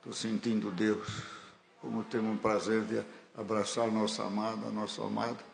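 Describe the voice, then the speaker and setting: A man's voice: lowish voice, level tone, moderate pace, creaky. A man with a Brazilian Portuguese accent preaching a fervent sermon in a church hall, building to emphatic declarations. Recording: echoey church hall with faint ambience.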